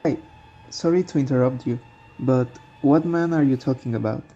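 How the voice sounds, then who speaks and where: A woman's voice: rumbling low, moderate pace, dark tone. A woman playing a troubled, withdrawn schoolboy haunted by nightmares in an amateur radio drama. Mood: anxious, curious. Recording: dull muffled microphone with music underneath.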